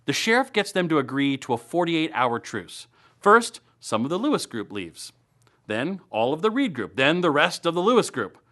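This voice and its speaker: A man's voice: on the high side, sing-song, normal speed, pushed and bright. A man hosting a local web series, reading upbeat scripted news and event segments in a playful, tongue-in-cheek way.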